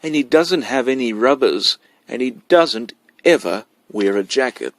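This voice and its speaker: A man voicing a cheeky, boastful kindergarten boy, loud and excitable, as a storybook character. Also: medium pitch, a little slow, no room sound. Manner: insolent, excitable.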